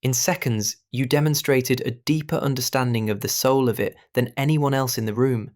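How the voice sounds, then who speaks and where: A man acts a passionate painter in a Victorian audio drama. His voice is medium in pitch, with an intimate, poetic manner. He sounds intense and wholly earnest. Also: fairly even intonation, normal speed, lightly acted. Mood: intense admiration.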